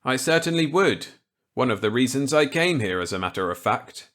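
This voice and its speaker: A man's voice: medium pitch, lively intonation, moderate pace, fairly bright tone, pitched above the performer's own voice, natural voice. A man voicing an earnest, resentful government scientist in a storybook reading, stiff and incredulous in delivery. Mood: stiff, guarded.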